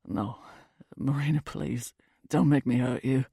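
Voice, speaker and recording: A woman voicing a remorseful, evasive man breaking up with his girlfriend in an audiobook, gravelly and husky, pleading haltingly. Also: rumbling low, mellow, no room sound, studio quality, pitched below the performer's own voice, full character voice. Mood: pained, pleading. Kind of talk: audiobook character dialogue.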